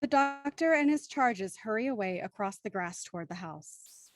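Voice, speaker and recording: A woman's voice: medium pitch, animated, normal speed, dry recording, studio quality. A woman hosting a live audio show and reading scripted narration, addressing her listeners directly and in an organised way. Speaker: host and narrator.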